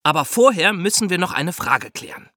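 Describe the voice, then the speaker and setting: A man co-hosting a podcast, asking questions and explaining history in a clear conversational narration. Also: sits high, very animated, fairly quick, sharp tone, dry recording, studio quality, soft background music. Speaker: podcast co-host.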